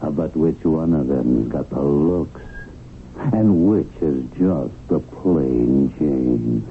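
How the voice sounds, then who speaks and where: An actor playing a smug, slick, needling devilish tempter in a radio drama, talking in a cocky, colloquial way. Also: male voice, extremely low pitch, animated, takes it slowly, gravelly, narrow, tinny audio, heavily acted. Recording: thin band-limited radio broadcast, faint hiss.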